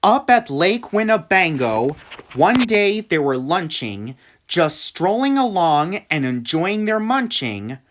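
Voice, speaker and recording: A woman reading a rhyming children's storybook aloud in an expressive narrating style. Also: fairly low pitch, slow, slight background noise. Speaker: storybook reader.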